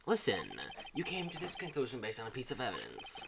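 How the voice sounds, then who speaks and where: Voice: mid-range voice, huge pitch swings, a little fast, creaky, noisy background. Streamer voicing a haughty, cutting male prosecutor. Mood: condescending. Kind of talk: visual novel game read-aloud.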